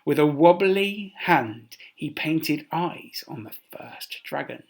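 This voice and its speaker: A man reads a traditional tale to children like a teacher in class. His delivery is unhurried and expressive, with wide swings in pitch and dramatic emphasis on key words. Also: gravelly. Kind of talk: children's story read aloud.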